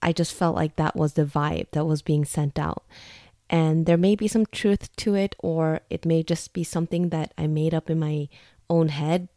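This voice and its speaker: A woman's voice: low-pitched, dark tone, no room sound, clean studio sound. A young woman from Norway telling a personal story in a candid, chatty, reflective way. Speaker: vlogger.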